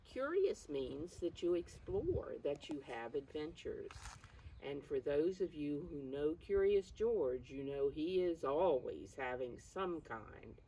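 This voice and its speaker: An older woman reading aloud to young children, pausing to explain things in simple words. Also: low-pitched, sing-song, mellow, highs cut off. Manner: addresses children simply, calls self by name in third person. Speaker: preschool storytime reader. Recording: distant mic, faint background noise.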